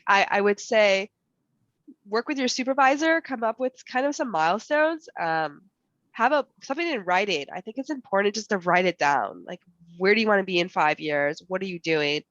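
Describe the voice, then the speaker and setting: A woman on a panel with a cold and a voice that is going, giving advice haltingly with many fillers. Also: mid-range voice, lively intonation, a bit bright, dry recording. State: fighting a cold, losing her voice. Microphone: video call. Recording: dull dry video call audio.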